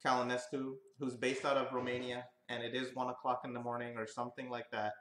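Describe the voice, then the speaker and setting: A man's voice: medium pitch, monotone, fast, a bit bright, echoey room, slight background noise, rough recording. A man hosting a live talk show, introducing his guest in a loose, chatty way. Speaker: show host.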